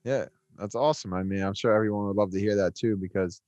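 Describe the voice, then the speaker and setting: Low-voiced man, dry close sound. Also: fairly even intonation, quick pace, a little mellow, no echo, dull audio. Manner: enthusiastic, filler-heavy. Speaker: podcast co-host, songwriter and producer. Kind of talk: casual chat.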